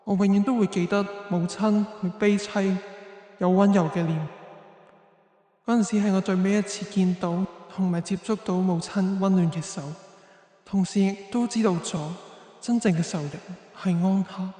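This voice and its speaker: A man's voice: high voice, fairly even intonation, normal speed, dark tone, natural voice. A man playing a solemn, brooding young avenger, narrating in first person in a Chinese radio drama. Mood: sorrowful, bitter. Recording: roomy long reverb.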